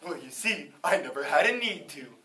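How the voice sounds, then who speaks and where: A woman voicing a calm talking farm dog who answers matter-of-factly. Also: deep voice, moderate pace. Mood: smug. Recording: roomy room, distant mic.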